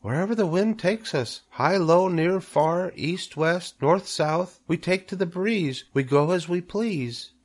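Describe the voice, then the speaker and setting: A man's voice: high voice, measured pace, few pauses, pitched above the performer's own voice. A man voicing tiny young female spiders in a storybook, dainty, polite and eager. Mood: breezy, proud.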